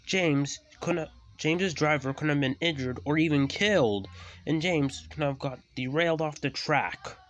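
A man's voice: mid-range voice, measured pace, few pauses, voice pushed up, lightly acted. Man acting stern, thundering railway boss. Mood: stern anger. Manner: loud, authoritative.

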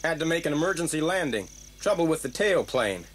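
An actor playing a brisk soldier of fortune in an audio drama, reporting in a clipped, matter-of-fact way. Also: male voice, fairly high pitch, sing-song. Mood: businesslike.

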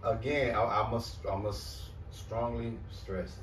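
A man's voice: on the low side, fairly even intonation, slow pace, noisy background. A man in a heated group talk, hyped and egging the others on, often laughing. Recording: roomy, mic far off.

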